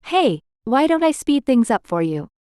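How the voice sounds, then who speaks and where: A woman playing a bold, quick-witted newspaper worker in a comic dub, with a pert delivery. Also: high voice, sing-song, darkish tone, clean tone, no room sound, studio quality. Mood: challenging.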